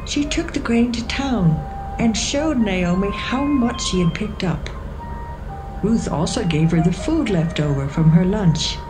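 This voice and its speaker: A woman's voice: medium pitch, expressive, measured pace, poor audio. A woman narrating a scripted, dramatised Bible story. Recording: music behind the voice.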